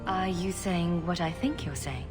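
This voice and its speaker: An actress playing a caring female adventurer who asks gentle, probing questions in video game dialogue. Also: on the low side, unhurried, in a large hall, over music, close to an everyday voice. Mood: curious.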